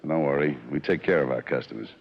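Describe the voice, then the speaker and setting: Brief: deep, menacing hitman, old lo-fi radio drama. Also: quick pace, creaky, full character voice. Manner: curt, menacing, slangy tough-guy talk. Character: cold tough male hired killer, sneering. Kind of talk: 1940s radio drama acting. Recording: dull lo-fi old radio broadcast.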